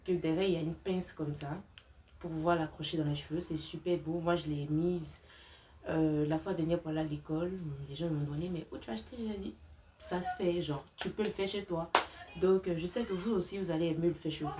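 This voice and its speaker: A woman's voice: low voice, brisk, mellow. A young woman giving a casual, chatty crochet tutorial in an informal, colloquial way. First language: not French-only, has a self-described slight accent. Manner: informal, girlfriend-to-girlfriend address with colloquial tags.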